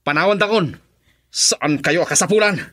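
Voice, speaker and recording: High-pitched, mocking Filipino villain. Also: male voice, moderate pace, fairly bright tone. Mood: defiant, bitter. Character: cruel jailed male villain, mocking and bitter. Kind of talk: Filipino radio drama acting.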